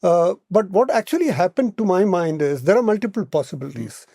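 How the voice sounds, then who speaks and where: Male analyst, Indian accent, warm dark timbre, close dry sound, measured explanatory delivery with repeated words. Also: sits high, expressive, vocal fry, no echo. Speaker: podcast guest, policy analyst. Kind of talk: explanatory podcast discussion.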